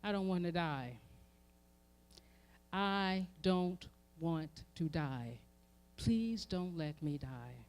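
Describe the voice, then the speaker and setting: A woman with an American accent delivers an impassioned sermon, using emphatic repetition and swelling from hushed to forceful. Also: lowish voice, lively intonation, very slow, long pauses. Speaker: reverend speaking at a memorial event. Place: live event before an audience.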